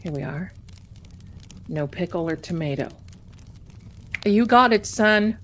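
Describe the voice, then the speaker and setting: A middle-aged woman casually chatting with her live-stream viewers as a tarot reader, rambling warmly and calling them her darlings. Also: lowish voice, animated, slow, a little hiss or hum.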